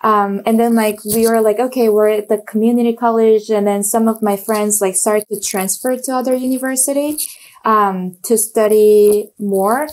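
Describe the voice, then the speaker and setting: A young woman with a Korean accent speaks in a middle-pitched voice, telling her story in a relaxed, halting way. She fills pauses often and restarts her sentences. She is recorded over an earphone mic on a video call from a small home room. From South Korea. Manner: many fillers and restarts, searching for words. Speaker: guest, designer. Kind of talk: casual interview chat.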